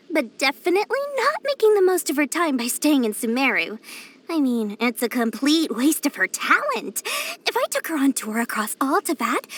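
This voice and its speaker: A woman's voice: sits high, fairly bright tone. A woman voicing a small, greedy, boastful young female merchant in a video game, with smug, theatrical, wheedling sales patter. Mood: sly, theatrical. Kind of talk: video game character voice lines.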